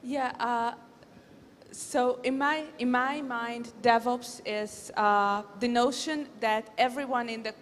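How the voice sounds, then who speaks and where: Female panelist, very even delivery, measured and explanatory, echoing hall with faint noise. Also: on the high side. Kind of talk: panel discussion answer. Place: conference stage. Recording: reverberant conference hall.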